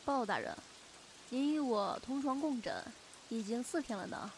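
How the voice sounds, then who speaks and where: A woman voice actor playing a caring, bossy young friend with a sharp tongue in an audio drama. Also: fairly high pitch, expressive, moderate pace, a little hiss or hum. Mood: playfully grumpy. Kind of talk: Chinese audio drama acting. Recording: prominent acoustic guitar music behind.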